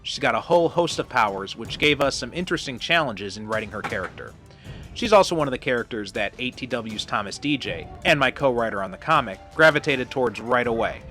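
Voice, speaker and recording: A man's voice: mid-range voice, pushed and bright. A man hosting, introducing an audio drama episode in an upbeat, promotional way. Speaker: host. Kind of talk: podcast introduction.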